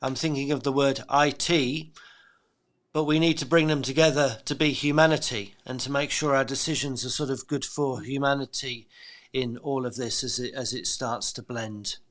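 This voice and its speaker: A man's voice: medium pitch, steady tone, normal speed, fairly bright tone. A man hosting a podcast interview, reflecting on his guest's answers in a thoughtful, affirming way with frequent fillers. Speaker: podcast host.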